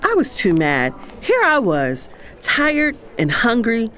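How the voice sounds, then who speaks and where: A woman's voice: fairly high pitch, huge pitch swings, slow, fairly bright tone, slight background noise, full character voice. A woman playing a fed-up, sassy young career woman, narrating an audio drama in the first person. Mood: exasperated. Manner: exasperated, sassy.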